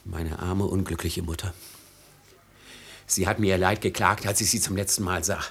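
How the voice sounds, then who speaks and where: A man acting a bitter, unforgiving grown son in a classic radio drama, husky and intense, with a sardonic, accusing way of talking. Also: low-pitched, fairly even intonation, moderate pace, a bit bright. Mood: reproachful.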